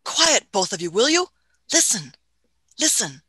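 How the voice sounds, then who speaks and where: A woman's voice: a little high, sing-song, a little slow, sharp tone, breathy edge, no echo, full character voice. A woman playing a fussy, pompous time-travelling scientist in a radio play, with old-fashioned phrasing. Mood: bossy.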